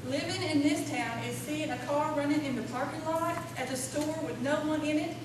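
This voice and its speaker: Sassy, folksy townswoman, played by an actress, high voice, teasing comic delivery, distant echoey noisy hall. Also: normal speed, lightly acted. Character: sassy small-town woman swapping jokes about her town. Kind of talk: live comic radio-play acting.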